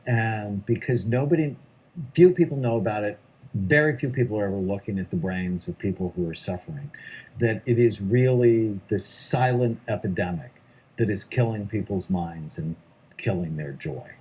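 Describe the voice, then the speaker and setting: A middle-aged male psychiatrist speaks in a slightly low, husky voice. He answers interview questions emphatically, often hammering home his points with short repeated lists. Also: moderate pace, darkish tone, rough recording. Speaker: psychiatrist guest.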